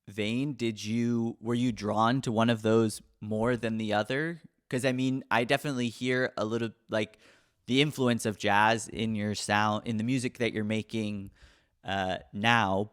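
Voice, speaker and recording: A man's voice: fairly low pitch, fairly even intonation, a little slow, fairly bright tone, smooth. A man hosting a podcast, asking long, rambling questions full of fillers. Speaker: podcast host. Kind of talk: casual interview.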